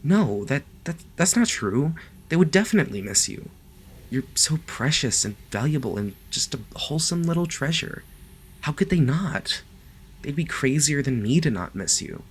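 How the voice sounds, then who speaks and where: A man playing a lovestruck, possessive kidnapper in an ASMR roleplay, speaking softly and haltingly to the listener, sometimes close to a whisper. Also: a little high, big pitch swings, a little hiss or hum. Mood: earnest, insistent. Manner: soft, gentle, halting, sometimes dropping to a whisper. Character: lovestruck male yandere kidnapper, doting, possessive, awkwardly reassuring.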